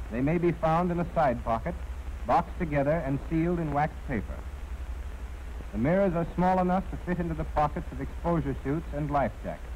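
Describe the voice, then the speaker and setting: An American man reading scripted instructional narration in a formal, measured, precisely enunciated way. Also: fairly high pitch, darkish tone. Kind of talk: scripted training film narration. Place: narration recorded for an archival film. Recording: dull, noisy 1940s film soundtrack.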